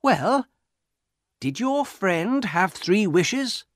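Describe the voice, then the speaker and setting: A man's voice: high-pitched, sing-song, extremely slow, no echo, studio quality, pitched above the performer's own voice, full character voice. A man voicing an eager, teasing young son in a storybook, curious and disbelieving.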